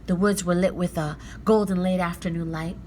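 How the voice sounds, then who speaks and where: A woman narrating a children's story aloud in an expressive read-aloud style. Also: on the low side, moderate pace, a little hiss or hum.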